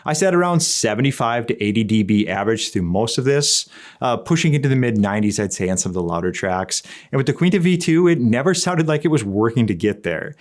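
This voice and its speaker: A man's voice: mid-range voice, expressive, brisk. A man narrating a conversational scripted review as an equipment reviewer, explaining things in a plain-spoken, opinionated way.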